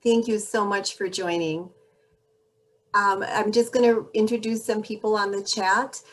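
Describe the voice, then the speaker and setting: A woman's voice: mid-range voice, steady tone, a little slow. Woman running a zoom meeting, welcoming folks one by one, mid voice. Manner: warm, gracious introductions. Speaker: meeting host. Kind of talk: online meeting facilitation. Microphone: video call.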